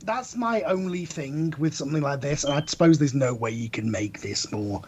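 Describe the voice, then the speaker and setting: A man's voice: a little high, normal speed, a little mellow, slight background noise. A man speaking as a podcast guest, giving analytical, wry opinions in casual discussion.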